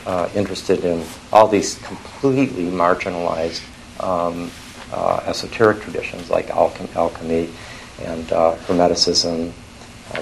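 An older man from Switzerland giving an academic lecture in a scholarly, halting way, often correcting himself mid-phrase. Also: low-pitched, creaky, a little mellow, slight background noise, rough recording.

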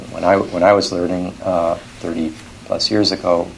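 An older man from Switzerland giving an academic lecture in a scholarly, halting way, often correcting himself mid-phrase. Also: deep voice, flat delivery, creaky, slight background noise, rough recording.

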